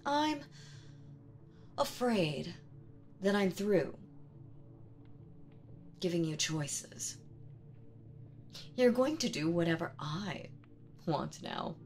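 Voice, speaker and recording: A woman acts out a seductive demon queen villainess for an audio roleplay, in a fairly low voice. She speaks slowly and theatrically, commanding the listener with teasing menace. Soft music plays faintly behind her. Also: animated, heavily acted. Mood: domineering, menacing.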